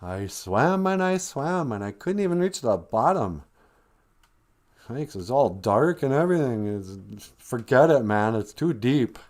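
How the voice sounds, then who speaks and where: A man voicing a loon who has just surfaced from a very deep dive, sounding breathless and amazed. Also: animated, a little slow, a little mellow. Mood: defeated.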